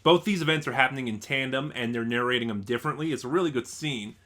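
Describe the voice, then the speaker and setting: A man's voice: medium pitch, fast, fairly bright tone. A man giving a casual, unscripted review as an online reviewer, rambling and thinking aloud in a stop-and-start way.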